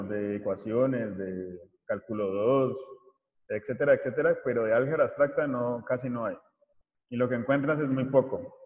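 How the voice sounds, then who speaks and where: Man teaching in an echoey room. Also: medium pitch. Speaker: university mathematics teacher. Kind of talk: informal online lecture. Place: small indoor room, video call. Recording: muffled, echoey room over video call.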